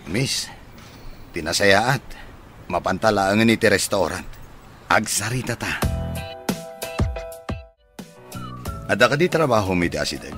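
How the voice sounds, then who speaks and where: An actor with an Ilocano Filipino accent playing an eager, polite, smitten bachelor in a radio comedy drama. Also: male voice, on the low side, lively intonation, moderate pace, long pauses, fairly bright tone, faint music behind. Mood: chatty, courting.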